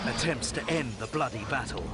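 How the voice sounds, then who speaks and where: A man reading dramatic documentary narration in a grave, measured, suspenseful way. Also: medium pitch, very noisy. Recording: voice over loud music and gunfire. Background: loud dramatic music, battle sounds.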